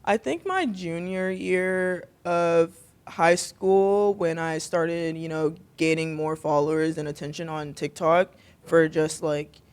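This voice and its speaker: A young adult woman with a deep voice, a podcast guest talking candidly and expressively in casual chat, swearing freely. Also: takes it slowly, darkish tone, clear, resonant tone.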